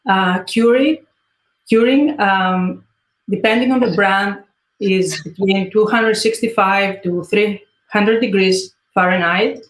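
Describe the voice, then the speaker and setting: A woman's voice: mid-range voice, slow pace. Greek-accented woman, hesitant, roomy sound. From Greece. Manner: hesitant, many fillers. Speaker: guest artist. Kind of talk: interview answers.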